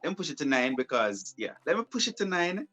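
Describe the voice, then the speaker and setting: A man's voice: on the high side, very animated, fast, sharp tone, faint music behind. A young man, a broadcast journalist, answering interview questions casually and often repeating and restarting his phrases. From Jamaica.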